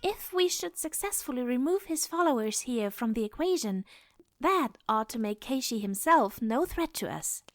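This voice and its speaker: An actress playing a composed, protective goddess in a fantasy audio drama, speaking in a measured, formal way. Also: female voice, sits high, expressive, no echo. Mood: calm, measured.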